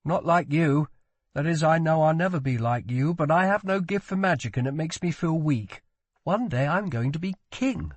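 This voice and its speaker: A man's voice: on the high side, expressive, moderate pace, no echo, voice pushed up. A man voicing a wounded young prince in an audiobook, petulant and dramatic, playful yet weighed down by guilt. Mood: vulnerable, ashamed. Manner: scornful, dramatic, demanding.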